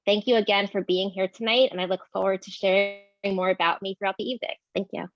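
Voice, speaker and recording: Woman candidate, forties, earnest steady delivery, dry dull recording. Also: mid-range voice, fast talker, no echo. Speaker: debate candidate. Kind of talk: prepared opening statement.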